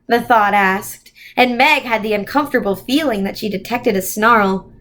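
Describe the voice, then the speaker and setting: A woman reading a children's novel aloud as scripted, expressive storybook narration. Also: on the high side, normal speed. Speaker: volunteer storybook reader.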